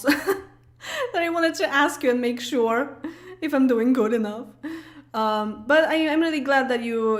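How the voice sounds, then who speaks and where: A woman in her late twenties with a Polish accent, chatting casually on a livestream and answering comments, with lots of fillers, restarts and laughs. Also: a little high. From Poland. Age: late 20s. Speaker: streamer.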